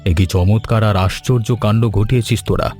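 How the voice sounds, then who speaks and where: Guy doing kind old village uncle, cartoon, warm dark tone, earnest n sentimental, music under it. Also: lowish voice, steady tone, quick pace, natural voice. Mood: moved, grateful. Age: older. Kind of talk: Bengali cartoon story voice acting. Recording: music behind the voice.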